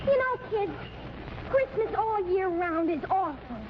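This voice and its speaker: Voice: very high voice, animated, unhurried. A young performer playing a friendly, plain-spoken small child in a 1940s radio comedy. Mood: earnest. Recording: noisy, muffled 1940s radio recording.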